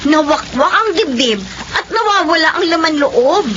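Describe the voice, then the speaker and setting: Nosy young Filipino village woman, very high swooping voice, radio drama, muffled sound. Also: animated, normal speed. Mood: alarmed, curious. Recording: muffled, noisy riverside scene audio.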